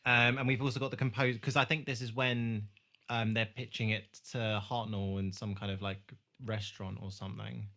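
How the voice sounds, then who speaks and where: A man's voice: fairly low pitch, little pitch movement, normal speed, pushed and bright. A man hosting a casual podcast discussion, talking in a loose, hesitant way with frequent asides. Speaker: podcast host.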